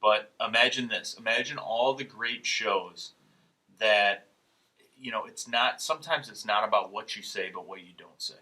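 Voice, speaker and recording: Male podcast co-host, sharp forceful voice, insistent, repetitive phrasing, casual talk. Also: on the low side, normal speed, rough recording. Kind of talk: casual animated chat.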